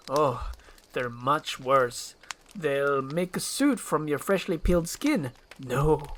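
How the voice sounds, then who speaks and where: A man voicing a gruff, sardonic ogre who relishes scaring people in a storybook reading. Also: high-pitched, fairly bright tone, pitched above the performer's own voice, heavily acted. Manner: sardonic, menacing.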